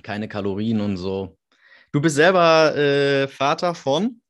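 A man's voice: medium pitch, takes it slowly. A man in his thirties hosting a casual interview over a video call, chatty and curious. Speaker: podcast host.

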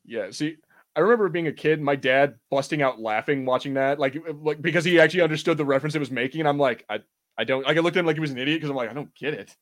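A man's voice: a little high, very fast. A man co-hosting a casual movie watch-party podcast, bantering and swearing freely. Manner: frequent swearing. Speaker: podcast co-host.

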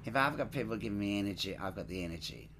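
A man who grew up in Sydney, an entertainer telling stories in an interview in a lively, expressive way, with frequent asides. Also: fairly low pitch, rapid-fire, noisy background. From Scotland, raised in Sydney. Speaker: stage entertainer and show producer. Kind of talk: chatty interview storytelling.

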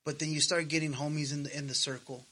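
A man's voice: a little high, steady tone, quick pace, pushed and bright. A man in his thirties chatting casually as a podcast co-host, earnest and slangy, swearing freely. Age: 30s. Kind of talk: casual personal chat.

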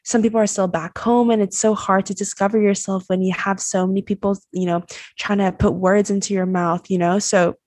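A woman's voice: mid-range voice, little pitch movement, a little fast, no echo, studio quality. Young woman from Taiwan, reflective host. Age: early 20s. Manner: warm, appreciative, reflective, uses many fillers. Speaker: host, college student. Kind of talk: conversational interview.

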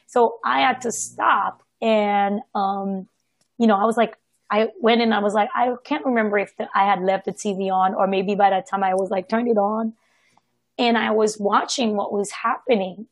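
Woman, from Haiti, husky voice, casual personal storytelling, halting with asides, close dry sound. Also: mid-range voice, fairly even intonation, no room sound. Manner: halting, circling back with repeated asides and self-corrections. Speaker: participant sharing personal experience in a group discussion. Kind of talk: casual reflective personal account.

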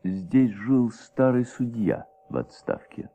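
A man performing as the dramatic, measured narrator of a detective story in a Soviet radio play. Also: medium pitch, expressive. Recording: dull old radio recording, faint music.

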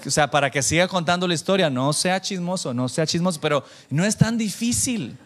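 A man preaching informally to a church congregation, animated and self-deprecating, bantering with listeners and dropping in English phrases. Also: sits high, a little fast. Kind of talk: informal conversational sermon with anecdotes.

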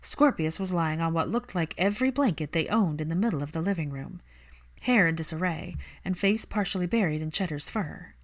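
Lower-pitched woman narrating a story. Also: lively intonation, a little fast. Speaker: fan fiction narrator. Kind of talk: scripted audiobook narration.

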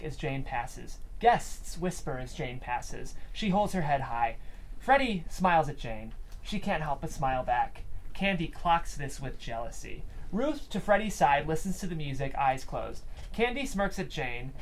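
A man's voice: a little high, low-quality recording. A man reading stage directions as scripted narration at a table read, with room noise behind him.

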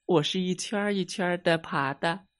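A man voicing a curious little snake pupil in a children's story, drawing out his words slowly. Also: high voice, fairly bright tone, voice pushed up. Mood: puzzled.

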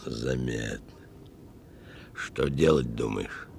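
Very deep, gravelly, wary criminal. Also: male voice, darkish tone, slight background noise, heavily acted. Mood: sly, watchful. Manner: sly, unhurried, terse underworld talk. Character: wary, well-fed fugitive male criminal under death sentence. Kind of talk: radio play acting.

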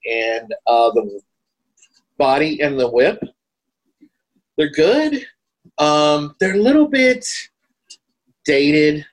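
Man chatting casually, halting delivery. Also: mid-range voice, poor audio. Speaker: podcast co-host. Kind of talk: casual film discussion.

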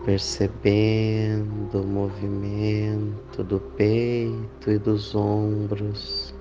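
Very slow man guiding meditation. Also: fairly low pitch, completely flat delivery, dull audio. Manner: slow, deliberate phrases with long pauses. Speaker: meditation guide. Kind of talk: guided meditation. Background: clear background music.

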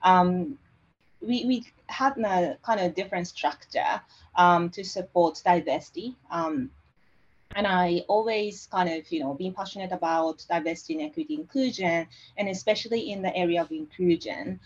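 A woman from Japan with a Japanese accent, a guest in an interview, speaking from her home office in a halting way with many fillers and restarts. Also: fairly low pitch. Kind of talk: conversational interview. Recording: dull lo-fi audio.

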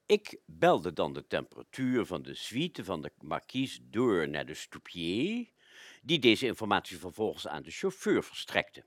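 Radio play role: obliging Cuban servant , male actor, lowish voice, huge swoops, theatrical, dry studio sound. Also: wildly swooping pitch, unhurried, no room sound, heavily acted. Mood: confiding. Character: eager, obliging Cuban manservant of a marquis.

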